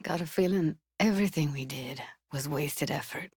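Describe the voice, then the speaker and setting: A woman's voice: low voice, moderate pace, a little mellow, husky, no room sound, studio quality. A woman playing a streetwise young tech in a cyberpunk video game, guarded but tender, with casual, clipped slangy phrasing. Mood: downcast, weary. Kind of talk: naturalistic video game voice acting.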